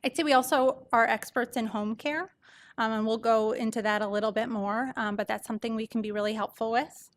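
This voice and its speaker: A soft-voiced woman doctor gives an informal medical presentation to an audience, explaining things conversationally. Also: a little high, fairly even intonation, a little fast. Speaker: palliative care doctor presenting.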